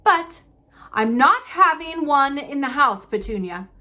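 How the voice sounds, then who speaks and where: A woman voicing a gruff, blustering middle-aged uncle in a storybook, snapping and sneering. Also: high-pitched, expressive, a little slow, pitched above the performer's own voice, exaggerated voice. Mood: agitated, firm. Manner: snapping, sneering, forceful delivery.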